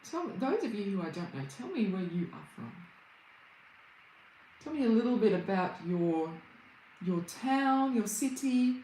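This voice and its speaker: A woman's voice: medium pitch, very animated, a little slow, pauses often, warm tone, echoey room, a little hiss or hum, low-quality recording. An Australian woman, a Reiki healer hosting a casual livestream, chatting and greeting viewers by name.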